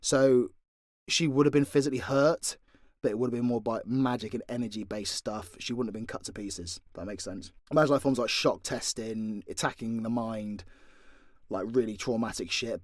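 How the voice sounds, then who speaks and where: A man's voice: a little high, no room sound, studio quality. A British man answering fan questions in a casual, unscripted way, blunt and joking, with plenty of swearing. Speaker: voice actor and content creator answering fan questions.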